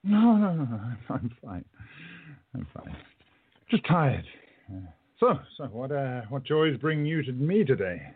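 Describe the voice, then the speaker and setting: A man playing a kindly, melancholy curmudgeon of a time traveler in a radio play, speaking in a halting, wistful way. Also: medium pitch, very animated, breathy edge. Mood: flustered, kindly. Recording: narrow tinny phone-like audio.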